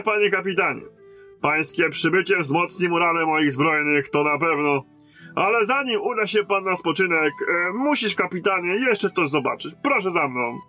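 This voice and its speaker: An actor playing a weary lieutenant in command of a besieged garrison in a fantasy audio drama. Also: male voice, high voice, sharp tone.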